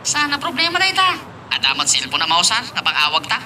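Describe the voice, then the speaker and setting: A man's voice: high-pitched, huge pitch swings, quick pace, sharp tone, exaggerated voice. A man playing a chummy, sly drug-dealing crony with an Ilocano Filipino accent in an amateur audio drama. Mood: scheming. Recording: clear ambient noise behind.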